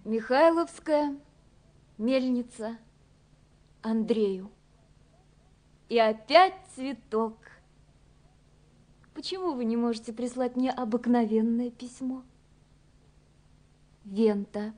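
Dreamy young woman, high and mellow. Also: slow pace, pauses often. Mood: wistful puzzlement. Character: wondering, dreamy young woman writing romantic letters. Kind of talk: radio play, letters read aloud as lyrical monologue. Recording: 1970s radio production with music. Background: music.

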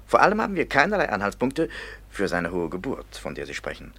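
Sharp-tongued police commissioner, deep and lively, radio play. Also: male voice, fairly quick, creaky, husky, full character voice. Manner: sceptical, cutting.

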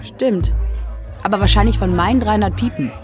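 A woman's voice: medium pitch, fairly quick, over music, lightly acted. An actress playing a stubborn, sardonic, argumentative teenage schoolgirl in a radio play. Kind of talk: German radio play acting.

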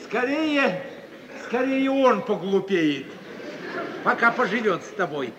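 An actor playing a wry, sceptical older uncle who teases and repeats himself in an old radio comedy. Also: male voice, high-pitched, big pitch swings, slow pace, dull audio, noisy background, exaggerated voice. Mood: teasing. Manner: teasing, drawling, repeats words. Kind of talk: 1950s Soviet radio comedy acting. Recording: distant, reverberant 1950s radio recording.

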